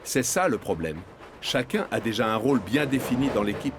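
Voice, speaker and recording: A male narrator of a children's cartoon with a medium-pitched voice. He reads scripted narration in an expressive storytelling way. Music runs clearly behind him. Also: brisk.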